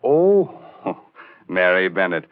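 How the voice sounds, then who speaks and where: A man's voice: low voice, sing-song, takes it slowly. A man hosting a 1940s horror radio show in a sardonic, macabre, punning manner. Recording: thin, phone-like 1940s radio recording.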